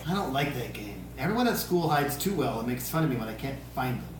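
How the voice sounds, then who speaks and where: Woman as an indignant young boy, animated, echoey. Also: very deep voice, fast. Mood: sulky. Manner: whiny, protesting. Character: plaintive, indignant nine-year-old boy. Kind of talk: amateur script table read. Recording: echoey room, distant mic, hiss.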